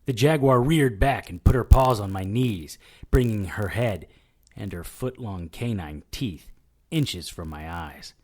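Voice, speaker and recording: A man acting a hard-boiled paranormal investigator in an audio drama. The voice sits low and moves dramatically across a wide range, with sardonic, wisecracking bite. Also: expressive, a little slow, darkish tone. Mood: tense, wry. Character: wisecracking male paranormal detective narrating his own ordeal. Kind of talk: audio drama narration and dialogue.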